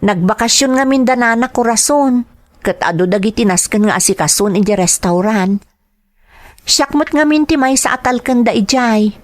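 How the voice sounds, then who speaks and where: A woman's voice: a little high, lively intonation, moderate pace, close to an everyday voice. A woman playing a dutiful, affectionate young working daughter in a radio-style drama, with a Filipino accent and an earnest, respectful manner. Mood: apologetic. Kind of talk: Ilocano audio drama acting.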